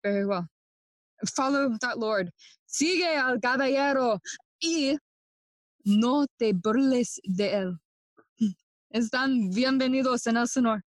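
A woman playing a bitter, grieving young prince in a bilingual classical audio play, speaking theatrical, deliberate verse. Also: fairly high pitch, no echo, natural voice, poor audio. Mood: wry, courteous. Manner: theatrical, deliberate, switching between English and Spanish.

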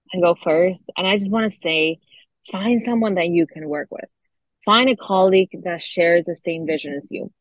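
A woman's voice: mid-range voice, dry recording. A young woman from Argentina whose first language is Spanish, a medical student answering podcast interview questions earnestly and enthusiastically. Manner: earnest, enthusiastic, with fillers and restarts. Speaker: medical student, program co-founder. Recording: dull dry lo-fi sound.

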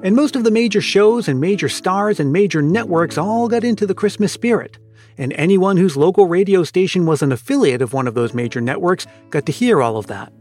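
A man's voice: high-pitched, expressive, fairly quick, a little mellow, soft background music. A man hosting a podcast with scripted narration. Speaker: podcast host.

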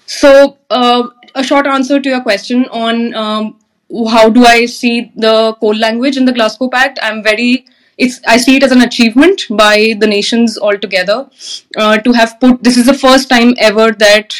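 A woman's voice: fairly high pitch, steady tone, normal speed, low-quality recording. Enthusiastic woman panel guest. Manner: enthusiastic, many fillers and restarts. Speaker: panel guest, energy manager. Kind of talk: panel discussion answer.

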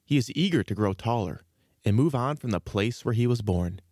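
A man hosting a children's story podcast, reading a scripted introduction in a measured, presentational way. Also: mid-range voice, expressive, a little mellow, dry recording, clean studio sound. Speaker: podcast host.

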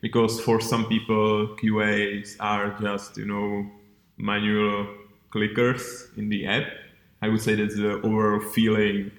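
A male podcast host interviews casually, pausing to search for words. His fairly low voice stays flat in pitch, recorded with noticeable room echo. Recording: roomy, echoey sound.

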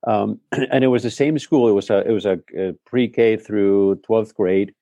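A middle-aged man from Spain, whose first language is Spanish, speaking in a relaxed interview and telling his story thoughtfully, often restarting his sentences. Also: fairly low pitch, unhurried, no echo, lo-fi sound. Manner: reflective storytelling with frequent restarts. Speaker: head of school, guest. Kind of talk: conversational interview.

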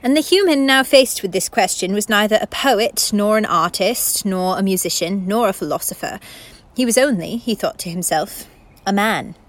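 Radio play narrator woman: literary, measured, philosophical, mid-pitched, faintly lecturing delivery. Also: close to an everyday voice. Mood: formal, reflective.

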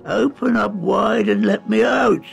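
A man's voice: high voice, measured pace, vocal fry, soft background music, pitched above the performer's own voice, heavily acted. A man voicing a tiny, polite but plucky uncle in a storybook, stammering and repeating his words. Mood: pleading, firm.

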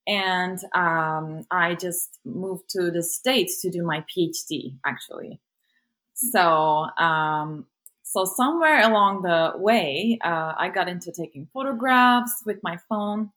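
A woman's voice: on the low side, takes it slowly, fairly bright tone. Hesitant Turkish-born woman guest. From Turkey. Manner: hesitant, many fillers, laughs easily. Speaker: guest, content creator. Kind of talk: casual interview chat.

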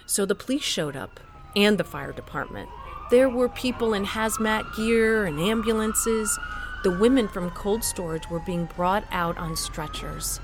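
A woman's voice: mid-range voice, huge pitch swings, measured pace, noisy background. An urgent, determined female scientist. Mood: tense narrating. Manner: urgent, emotive. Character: determined, bossy woman doctor and scientist with powers. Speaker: narrator and lead actor in a fiction podcast. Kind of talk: audio drama, narration mixed with acted dialogue.

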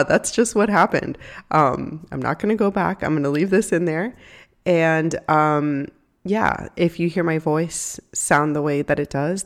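A young woman hosting a solo podcast, congested from a cold, talking in a chatty, candid way with fillers. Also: on the low side. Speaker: podcast host. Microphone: home podcast microphone.

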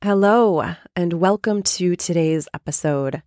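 A woman life coach hosting a podcast and explaining a method step by step, with a hoarse, raspy voice from a cold. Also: on the low side, unhurried, a little mellow, dry recording, clean studio sound. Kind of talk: casual coaching talk.

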